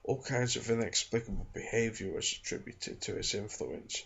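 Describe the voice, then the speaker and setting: A man reading aloud from a book in ASMR style, soft-spoken, hushed and measured, recorded in a small room at home. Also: fairly low pitch, gravelly, husky, lo-fi sound. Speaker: ASMR reader and channel host. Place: small home studio in a back bedroom.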